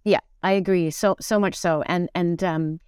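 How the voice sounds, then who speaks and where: A middle-aged woman chatting reflectively as an interview guest, animated and thinking aloud, often doubling back on her words. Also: on the low side, moderate pace, clean tone, no room sound, studio quality. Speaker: interview guest, musical theatre actor. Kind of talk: casual reflective conversation.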